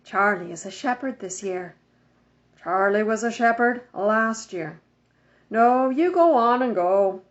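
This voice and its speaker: A woman's voice: fairly high pitch, expressive, takes it slowly. Woman reading as reluctant, grumbling storybook dad. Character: reluctant, easygoing homebody father. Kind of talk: children's storybook read-aloud. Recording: dull lo-fi home recording.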